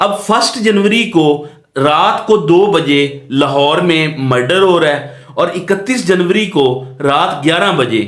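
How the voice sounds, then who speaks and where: Male law tutor, unhurried and didactic delivery with English terms mixed in, roomy echoing recording. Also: high-pitched. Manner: didactic, repeats phrases and asks rhetorical check questions. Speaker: law lecturer. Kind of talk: explanatory lecture mixing in English legal terms. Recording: echoey, roomy sound.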